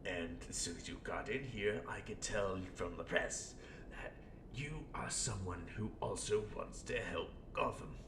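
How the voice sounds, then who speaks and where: Male amateur performer as scheming clown villain, low gravelly voice, sardonic rambling, erratic muttering and outbursts, roleplay monologue, roomy echo. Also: measured pace. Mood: persuasive. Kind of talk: in-character audio roleplay monologue. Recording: roomy echo, faint rumbling background noise.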